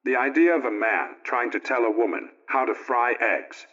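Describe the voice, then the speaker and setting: An actor plays a middle-aged family man on 1920s radio, earnest and folksy. He reads in a plain-spoken, politely opinionated way at a medium pitch. The recording is dull and old-fashioned. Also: animated, normal speed, sharp tone. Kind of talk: 1920s radio scripted letter reading.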